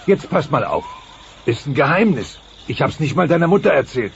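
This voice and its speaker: A man's voice: fairly high pitch, sing-song, normal speed, vocal fry. An actor playing a grumpy, indignant middle-aged dinosaur father, theatrical and bossy, in a dubbed comedy. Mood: lecturing. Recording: dull cassette transfer with noise.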